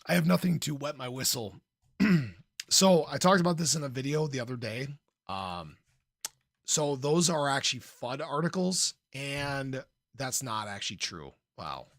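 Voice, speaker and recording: Creaky, slightly hoarse man livestreaming casually. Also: mid-range voice, expressive, measured pace, a bit bright, no echo, studio quality. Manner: informal, playful, frequent throat clearing. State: slightly hoarse, losing his voice. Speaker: livestream host, crypto commentator. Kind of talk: casual livestream chat, reading and answering viewer comments.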